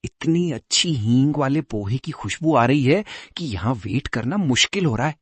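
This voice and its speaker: A man's voice: mid-range voice, expressive, moderate pace, husky, dry recording. A man playing a simple, good-natured young husband who loves food, eager and innocent, in a cartoon. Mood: eager, hungry. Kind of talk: cartoon story acting.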